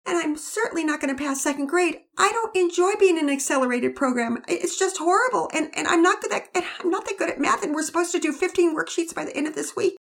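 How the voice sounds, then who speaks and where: A woman's voice: very high voice, fast, creaky, hardly any pauses, pitched above the performer's own voice. A woman voicing an anxious, tearful little girl in second grade, whining and stammering as she pleads. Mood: whiny.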